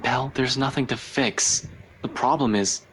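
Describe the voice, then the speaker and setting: An actor playing a gruff, skeptical male detective in a game, blunt and impatient, swearing freely. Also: mid-range voice, moderate pace, a bit bright, a little hiss or hum, natural voice, low-quality recording. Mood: dismissive. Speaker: police detective.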